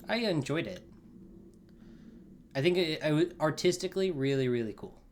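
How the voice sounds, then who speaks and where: A man's voice: a little high, animated, moderate pace, a little mellow. A man co-hosting a gaming video, talking casually in a laid-back, somewhat hesitant way. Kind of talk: casual chat, game commentary. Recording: faint background noise.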